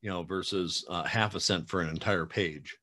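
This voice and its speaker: A man in his forties with a lowish voice hosts a relaxed interview over a webcam call from his home room. He talks in a chatty, rambling way, full of fillers and light teasing. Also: normal speed. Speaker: podcast host. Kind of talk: casual video-call interview. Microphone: webcam video call.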